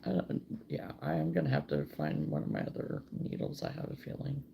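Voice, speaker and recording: Hoarse, gravelly-voiced woman chatting. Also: a bass voice, brisk, slight background noise. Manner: relaxed and chatty, mutters to herself while working. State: hoarse, froggy voice from a recent cold. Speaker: host of a crochet live stream. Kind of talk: casual chat while crocheting, answering viewers.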